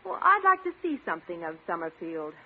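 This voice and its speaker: A woman's voice: fairly low pitch, sing-song, fairly quick, husky, heavily acted. Lilting, coquettish radio-comedy lady. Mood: coy, inviting. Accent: American. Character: charming, coquettish, well-to-do lady, gracious and forward. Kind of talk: 1950s radio situation comedy acting. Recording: tinny, narrow-band old radio recording.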